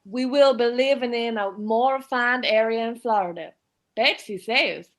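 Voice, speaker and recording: Casting: woman as nagging, status-minded middle-aged mum for radio play, higher voice. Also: measured pace. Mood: nagging, insistent. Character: exasperated, status-conscious middle-aged mother. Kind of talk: amateur radio drama acting.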